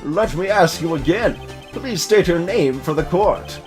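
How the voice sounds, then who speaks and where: A solemn old judge, put on by a man, presides formally and deliberately. His intonation is varied, and music plays underneath. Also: a little high, expressive. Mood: stern. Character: solemn elderly male courtroom judge.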